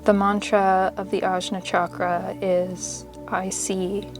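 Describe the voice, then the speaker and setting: A woman leading a guided meditation in a soothing, measured, unhurried manner, pausing between short phrases of instruction. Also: medium pitch, level tone. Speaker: yoga nidra meditation guide. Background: meditation music.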